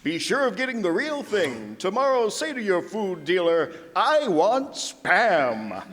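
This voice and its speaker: A man acts as a perky radio pitchman from the 1940s, high-voiced and swooping with enthusiasm. His theatrical sales delivery carries through a reverberant hall. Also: sing-song, unhurried, a bit bright, exaggerated voice. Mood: eager selling. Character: perky old-time radio pitchman selling canned meat. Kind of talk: 1940s radio commercial announcing. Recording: echoey hall stage, faint noise.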